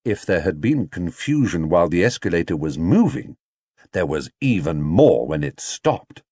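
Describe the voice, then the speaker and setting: A man narrating a children's story as an audiobook reader, in an expressive scripted style. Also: low-pitched, moderate pace, slightly rough, no echo, clean studio sound.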